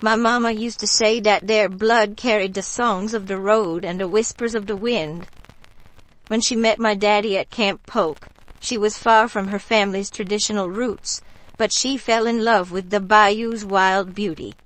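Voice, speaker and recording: A woman playing a warm local bayou woman in a radio drama, telling stories in an unhurried, folksy, gently mystical way. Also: mid-range voice, steady tone, dry recording, lightly acted, rough recording. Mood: fond, reminiscing.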